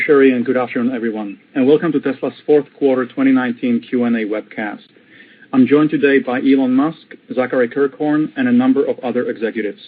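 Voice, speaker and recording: A man's voice: mid-range voice, husky. A man reading a formal, scripted introduction to a corporate conference call as investor relations director. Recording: tinny, phone-like conference call line.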